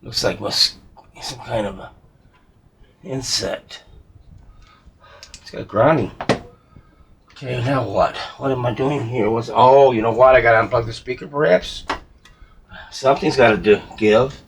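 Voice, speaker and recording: A man's voice: medium pitch, a little slow, pauses often. Man muttering through a noisy workbench job. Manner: muttering to himself, thinking aloud. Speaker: hobbyist radio repairer. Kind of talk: casual rambling workbench commentary. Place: indoor workshop. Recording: clear background noise, clicks of handling.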